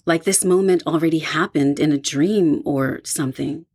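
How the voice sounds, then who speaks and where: An actress in her twenties playing an easygoing young roommate in an audio drama, speaking in a relaxed, offhand way. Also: deep voice, moderate pace, husky. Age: 20s.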